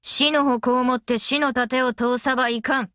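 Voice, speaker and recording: A woman voicing a brash, easygoing, long-lived female master metalsmith in an anime game, with rough, cocky, tomboyish speech. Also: high voice, steady tone, moderate pace, bright, forceful tone, no room sound, studio quality. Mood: fierce, commanding. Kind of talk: anime mobile game character voice lines.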